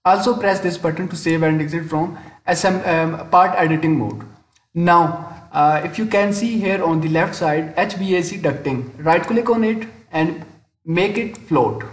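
A man narrating a step-by-step software tutorial as an instructor, hesitant and often pausing mid-sentence, in a voice-over with room echo. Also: a little high. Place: indoor room, screen-recording voice-over. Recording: roomy, echoing voice-over.

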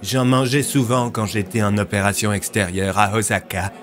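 Guy playing a dry composed man in a mystery audio drama, lowish voice, soft music. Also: fairly even intonation, normal speed, lightly acted. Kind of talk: acted audio drama dialogue.

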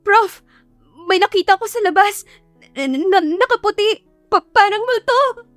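A woman's voice: very high-pitched, animated, unhurried, a bit bright, no room sound, soft background music, pitched above the performer's own voice, full character voice. A woman voicing a timid, easily frightened young female student in an audio love story, with a nervous, stammering delivery. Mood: terrified.

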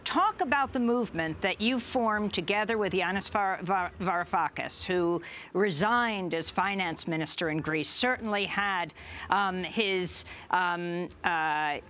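An older woman hosting a news program from a studio, asking measured questions with a few hesitations. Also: fairly low pitch, fairly bright tone, a little hiss or hum. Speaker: news host. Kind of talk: news interview.

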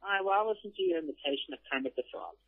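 A man's voice: on the high side, very fast. A man chatting casually over a phone call, giving brief, hesitant answers. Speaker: interviewee. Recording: muffled tinny telephone line.

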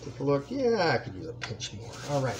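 A man's voice: mid-range voice, brisk, noisy background. Easygoing man chatting while he cooks. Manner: relaxed, talks while stirring, many ums. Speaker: home cooking host. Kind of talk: casual cooking demonstration. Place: home kitchen, indoors. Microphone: built-in camera mic, close framing.

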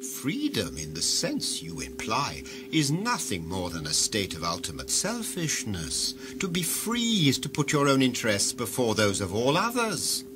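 An actor playing an imperious head of a world-ruling cartel in a sci-fi audio drama, grandiose and commanding like an orator. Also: male voice, medium pitch, huge pitch swings, measured pace, few pauses, over music. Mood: lofty.

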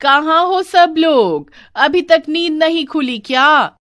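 A woman voicing a haughty, taunting middle-aged aunt in a cartoon family story, loud and scolding. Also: high voice, lively intonation, takes it slowly, pitched above the performer's own voice, heavily acted. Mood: scornful. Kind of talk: animated Hindi family story acting.

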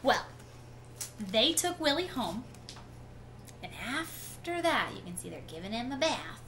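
A woman in her thirties reading a picture book aloud to children in an expressive storytelling manner. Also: a little high, unhurried. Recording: clearly noisy home webcam recording.

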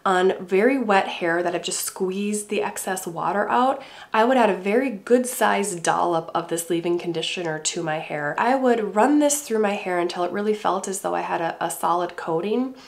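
A middle-aged woman with a congested voice from a cold, calmly explaining her hair care routine to camera at home. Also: medium pitch, normal speed, vocal fry. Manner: calm, gentle, explaining step by step. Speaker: beauty vlogger. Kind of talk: casual talk to camera. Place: home room indoors.

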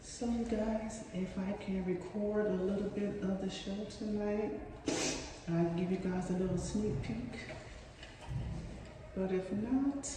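Slow-talking woman in an echoey restroom. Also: mid-range voice, noisy background. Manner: chatty, addresses viewers as you guys, relaxed and unhurried. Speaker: vlogger. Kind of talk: casual vlog talk to camera. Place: tiled staff restroom at work, indoors. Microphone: phone camera propped at a distance. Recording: echoey tiled room, voice from far off.

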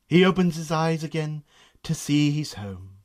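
A man's voice: a little high, very animated, a little slow, darkish tone. A man narrating a scripted radio drama in a theatrical storytelling manner.

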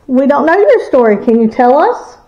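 A woman hosting a ghost hunt in an abandoned school, casually chatting and slowly coaxing spirits with questions. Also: high-pitched, big pitch swings, highs cut off. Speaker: paranormal explorer host. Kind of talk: casual ghost-hunting chat.

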